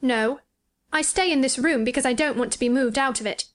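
A woman's voice: high voice, fairly quick, pitched above the performer's own voice, close to an everyday voice. A woman voicing a frail, imperious young boy with a plaintive, self-pitying manner in a storybook reading. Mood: bitter self-pity.

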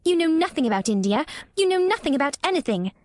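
Imperious spoiled child, high lively delivery, clipped and disdainful, read by a woman narrator. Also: normal speed, dry recording, clean studio sound. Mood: furious. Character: haughty spoiled young girl, imperious. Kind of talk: audiobook character reading.

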